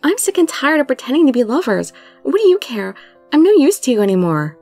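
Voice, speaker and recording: A woman acts out a feisty young heroine of a romance tale. Her voice rises high and swings animatedly, emphatic and indignant, with hurt under the exasperation. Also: very animated, moderate pace, darkish tone, faint music behind. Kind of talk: dramatic romance story reading.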